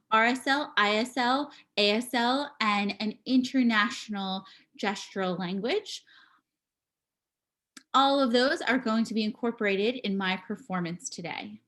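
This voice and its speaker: A woman giving a live spoken interpretation of a signing performer, speaking in halting bursts with pauses and restarts, over a video call. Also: a little high, fairly bright tone. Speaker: sign language voice interpreter.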